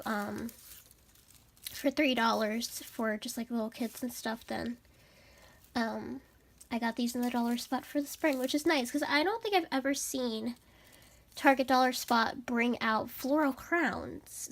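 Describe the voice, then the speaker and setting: A young woman vlogger with a raspy, stuffed-up voice, chatting bubbly and casually through a shopping haul. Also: a little high, moderate pace. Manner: bubbly and chatty, many fillers. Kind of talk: casual haul vlog chat.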